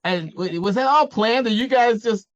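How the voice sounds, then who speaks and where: A man's voice: high voice, normal speed, a bit bright, no room sound, lo-fi sound. A man interviewing someone casually, stammering and repeating words as he works toward his questions.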